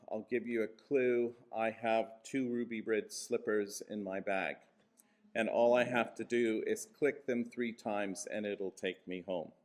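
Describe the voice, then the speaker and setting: A mature man with an American accent giving an informal, reflective conference address, telling personal stories in measured phrases with pauses for emphasis. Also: lowish voice. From Kansas, USA. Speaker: church moderator, guest speaker. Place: conference venue.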